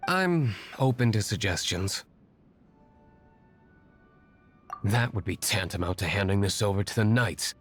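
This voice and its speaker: A man's voice: on the low side, natural voice. A man playing a cool, aloof young nobleman hero in a video game, composed, formal and matter-of-fact. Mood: cool, dismissive. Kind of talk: video game character acting. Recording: studio voice over game music.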